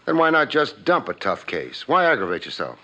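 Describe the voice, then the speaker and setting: Hard-boiled gumshoe, dry wisecracking, lively inflection, forceful tone, old radio noir. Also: male voice, medium pitch, a little fast, exaggerated voice. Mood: sympathetic, gruff. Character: wry, hard-boiled private detective narrating his own cases. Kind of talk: 1950s radio noir narration and dialogue. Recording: muffled old radio recording, faint music.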